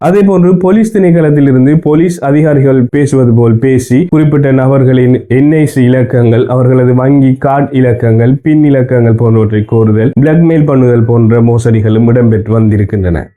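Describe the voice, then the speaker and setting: A man with a Sri Lankan Tamil accent narrates a news explainer. His voice is very dark and muted in tone, at a middling pitch. He explains point by point in formal, orderly phrasing, like a prepared report. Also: brisk, hardly any pauses.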